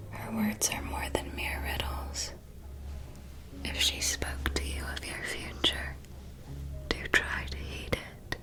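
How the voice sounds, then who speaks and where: Casting: actress for a possessive, knowing vampire lady, intimate breathy whisper, very slow genteel delivery, ASMR roleplay. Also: mid-range voice, natural voice. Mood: cautioning. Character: elegant female vampire mentor, possessive, knowing, quietly proud.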